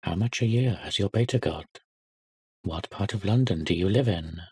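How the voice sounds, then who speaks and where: A man's voice: normal speed, husky, heavily acted. A man putting on sneering snobbish schoolboys. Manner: quieter and a bit higher than the narration. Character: snobbish sneering English prep-school boys.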